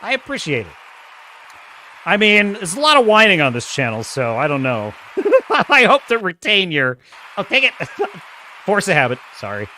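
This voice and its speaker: A man's voice: sits high, wildly swooping pitch, moderate pace, long pauses, pushed and bright, a little hiss or hum. A man hosting a casual livestream, chatting and reading viewer comments, with a self-described whiny, nerdy voice, rambling and laughing often. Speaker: host.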